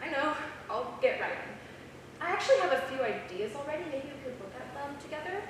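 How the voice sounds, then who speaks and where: A woman's voice: high voice, very animated, a little fast. A woman playing an eager, defensive college student writer in a staged script reading in a theater. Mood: defensive, determined. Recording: echoey theater, distant mic, clear noise.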